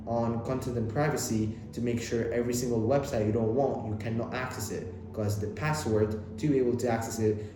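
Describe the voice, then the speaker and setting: A man's voice: medium pitch, steady tone. Man with Arabic as first language, very echoey room. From Lebanon. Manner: fast, repetitive, with many restarts and fillers. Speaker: self-improvement vlogger. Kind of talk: casual talking-to-camera advice. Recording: very echoey room with clear background noise.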